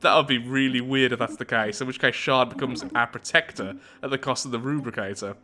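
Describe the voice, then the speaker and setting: A man hosting a video game playthrough, talking through it in an animated, chatty way and thinking aloud. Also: mid-range voice, fairly quick, music in the background. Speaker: YouTube gaming host. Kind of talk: game playthrough commentary, reading event text aloud.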